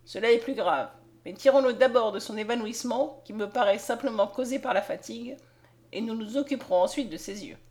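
A woman narrator voicing a kindly, reassuring old gentleman doctor in a calm, measured storybook manner. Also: mid-range voice, close to an everyday voice. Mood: calm concern.

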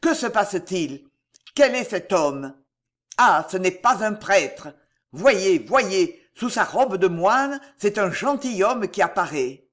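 A young count, acted by a male reader full of suspicion and indignation. He speaks gruffly and forcefully in a fairly high male voice. The delivery is that of audiobook melodrama. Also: expressive, a little slow. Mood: indignant, demanding. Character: rough, suspicious young count, distraught and demanding. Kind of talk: dramatic audiobook character voice.

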